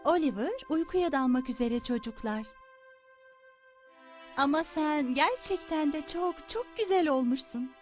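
A soothing young animal friend in a children's cartoon. The voice is very high and gentle, slow and drawn out, on slightly muffled audio. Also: female voice, lively intonation. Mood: gentle, admiring. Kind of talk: dubbed preschool cartoon acting. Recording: dull lo-fi cartoon audio with music.

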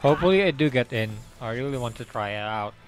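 A young man giving casual, halting live commentary as he streams a game, speaking into a close microphone in a small room. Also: medium pitch, animated, over music, rough recording. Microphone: close desk microphone.

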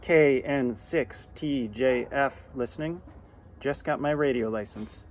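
Middle-aged man, American accent, medium pitch, amateur radio vlogger, outdoor yard recording, background noise. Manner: deliberate spelled-out call signs. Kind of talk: radio calls and casual narration to camera.

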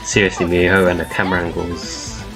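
A man with a low voice hosts a casual live reaction. He comments as he watches, speaking into a laptop's built-in microphone. Soft music plays faintly behind him. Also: flat delivery, fairly quick, gravelly. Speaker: host reacting to a game trailer. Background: faint trailer music.

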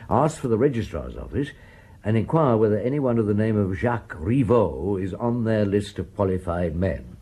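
An actor in a British radio drama playing a shrewd, stubborn middle-aged French chief inspector, wry and given to murmuring to himself. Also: male voice, lowish voice, sing-song, normal speed, dark tone, husky, exaggerated voice. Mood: brisk, coaxing.

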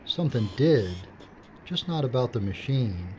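A man's voice: mid-range voice, big pitch swings, normal speed, mellow, natural voice. An actor playing a wry, unflappable cameraman in an audio drama. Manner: wry, laid-back. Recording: dull, noisy audio drama recording.

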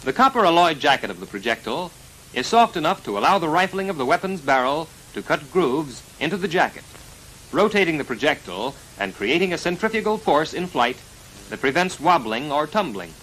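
An American man narrating a 1940s military instructional film in a formal, precise, measured delivery, on a vintage film soundtrack. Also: a little high, very animated, a bit bright, slight background noise, poor audio. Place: voice-over recorded for a film.

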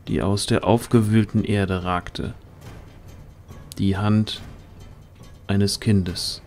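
Slow, low-voiced male story narrator. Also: darkish tone. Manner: deliberate, suspenseful. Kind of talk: scripted dramatic storytelling narration.

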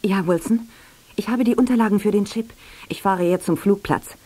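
A woman's voice: mid-range voice, moderate pace, mellow, close to an everyday voice. An actress playing a scheming, commanding female villain in a radio play, imperious and intense. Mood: brisk, commanding. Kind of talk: German radio play acting. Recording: noisy cassette radio play.

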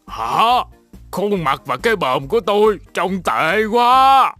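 A vain cartoon lion, theatrical and widely swooping in pitch. The delivery is slow and drawn-out, with children's music faintly underneath. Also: lively intonation, a bit bright. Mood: pained, miserable. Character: vain, dramatic injured lion patient.

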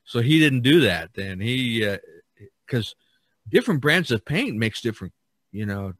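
A man hosting a podcast, chatting casually and haltingly, often repeating words. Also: medium pitch, big pitch swings, a bit bright, dry recording. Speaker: podcast host. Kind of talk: casual chat.

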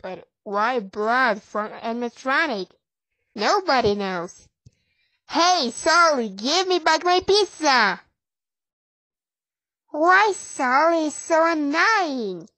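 A woman voicing a lonely, rejected animatronic fox in halting short phrases, like fan-made cartoon voicelines. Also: high voice, very animated, bright, forceful tone, no room sound, heavily acted, rough recording. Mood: annoyed. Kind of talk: scripted fan character voicelines.

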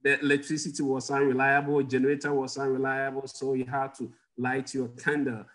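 A man's voice: medium pitch, monotone, a little fast, a bit bright. A middle-aged man from Ghana, a guest doctor telling personal stories in an interview over a video call from a home office. He chuckles often and puts questions to his audience.

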